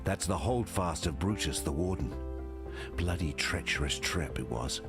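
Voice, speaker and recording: An actor playing a gruff, blunt, hardened male scout in a video game, speaking in clipped, salty phrases. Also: sits low, normal speed, loud music behind the voice, lightly acted. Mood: grim, matter-of-fact.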